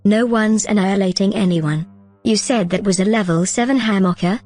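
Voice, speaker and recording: A woman's voice: mid-range voice, normal speed, a little mellow, lightly acted. A woman playing a bold, persuasive artificial consciousness in an audio drama, confident and conversational. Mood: determined, calculating. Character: bold, persuasive female artificial consciousness plotting her escape. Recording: music under the dialogue.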